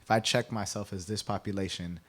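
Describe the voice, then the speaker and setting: Guy from kenya on a radio interview, lowish voice, explains stuff step by step. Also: steady tone, fairly quick, fairly bright tone. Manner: explanatory, rhetorical "right?" tags. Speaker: guest explaining the census.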